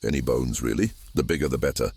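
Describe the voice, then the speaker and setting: Very deep gravelly ancient djinn. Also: male voice, fast talker, darkish tone, full character voice. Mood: boastful. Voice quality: gravelly, husky growl. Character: ancient djinn possessing a vampire's body, grand, sly.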